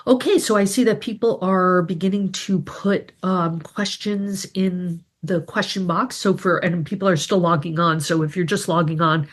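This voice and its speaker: A woman with a slightly low voice, congested from a cold, talks over a video call. She runs through updates in a loose, chatty way, full of ums and restarts. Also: fairly even intonation, normal speed, hardly any pauses. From New England. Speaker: grant writing advisor hosting a members' call. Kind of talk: casual video call briefing.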